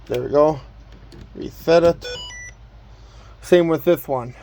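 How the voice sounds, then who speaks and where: A man's voice: a little high, slow, mellow, soft background music. A man casually presenting and demonstrating items from his collection in a plain, matter-of-fact way. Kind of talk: casual show-and-tell demonstration.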